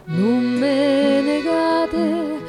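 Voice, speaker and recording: A woman with a Roman accent sings old love songs in a high voice. Her phrasing is extremely slow, in the manner of a theatre-song show. Plucked strings play loudly along with her. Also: loud music behind the voice. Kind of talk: singing old Roman love songs with live acoustic accompaniment.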